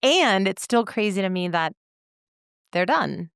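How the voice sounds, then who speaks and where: A woman's voice: medium pitch, animated, a little slow, vocal fry, fairly bright tone, clear, resonant tone, dry recording, studio quality. A woman co-hosting a podcast in casual conversation, choosing her words with care. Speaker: podcast co-host.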